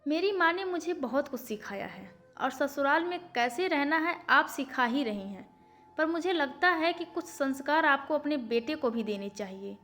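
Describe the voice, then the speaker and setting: Lady playing a polite young daughter-in-law standing her ground in a story, high voice, respectful but firm. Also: fairly bright tone. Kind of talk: audiobook story character.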